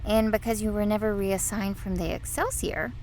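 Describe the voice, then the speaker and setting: A woman acting in an audio drama as a considerate starship doctor, calm, clinical and gentle. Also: mid-range voice, normal speed, a little hiss or hum, close to an everyday voice.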